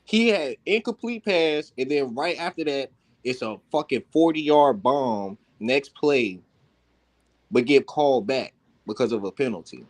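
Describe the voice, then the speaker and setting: A man's voice: medium pitch, animated, a little slow. A man in a casual, heated sports debate, talking insistently with lots of slang and swearing. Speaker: sports talk panelist.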